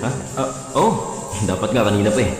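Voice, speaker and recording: A man's voice: fairly low pitch, big pitch swings, quick pace, darkish tone. An actor playing a thrifty, dutiful but easily exasperated husband and father in a Filipino radio drama. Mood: apologetic, firm. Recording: very echoey hall, music behind.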